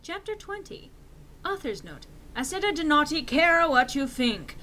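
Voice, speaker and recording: A woman's voice: sits high, very animated, measured pace. A woman giving an exaggerated dramatic reading of fan fiction in a theatrical manner. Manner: theatrical, swinging into shouts for quotes.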